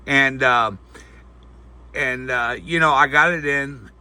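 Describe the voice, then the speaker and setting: A man's voice: medium pitch, slow pace, bright, forceful tone, clean tone. A man giving a casual, unscripted product review in a folksy, hesitant, rambling way.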